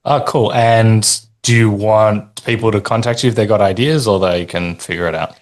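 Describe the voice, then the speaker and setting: A man hosts a casual podcast interview in a low voice. He is upbeat and encouraging, praising his guest's answers before moving on to the next question. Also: fairly even intonation, normal speed. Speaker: podcast host.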